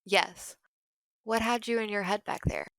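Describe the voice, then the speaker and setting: A woman's voice: medium pitch, fairly even intonation, measured pace, vocal fry, a bit bright, no echo. A woman playing a hard-bitten, darkly eager battle companion in an audio drama, laconic and wry.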